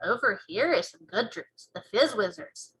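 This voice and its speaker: A woman voicing a gentle, solemn old male giant who talks in quirky mangled grammar in a children's storybook. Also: mid-range voice, lively intonation, normal speed, lightly acted, poor audio. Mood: earnest, explaining.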